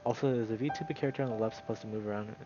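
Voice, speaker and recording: A man with a fairly low voice streams a visual novel, reading it aloud and chatting casually about it. The sound is slightly dull, and music plays softly underneath him. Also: fairly even intonation, racing. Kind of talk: read-aloud narration with casual stream commentary.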